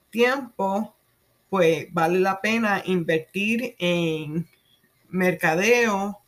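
Slow-talking Puerto Rican woman podcaster. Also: fairly low pitch. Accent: Puerto Rican Spanish. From Puerto Rico. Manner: slow and halting, with frequent fillers and soft laughs. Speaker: podcast host. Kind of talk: solo podcast monologue.

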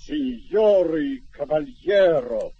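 An actor playing a grandiose, chivalrous old knight in a solemn, declamatory radio drama style, with a gravelly, husky voice. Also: male voice, on the high side, lively intonation, slow pace, dry recording, full character voice. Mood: proud. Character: grandiose, chivalrous, deluded elderly knight, noble and hot-tempered. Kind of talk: theatrical mid-century Soviet radio drama acting. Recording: dull vintage radio drama recording.

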